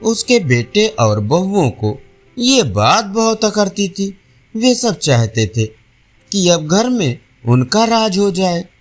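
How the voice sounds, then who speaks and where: A man narrates an audio folk tale in a high voice that moves up and down a lot. He takes his time with every phrase and tells it expressively, with soft instrumental music behind him. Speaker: storyteller. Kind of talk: scripted audio storytelling.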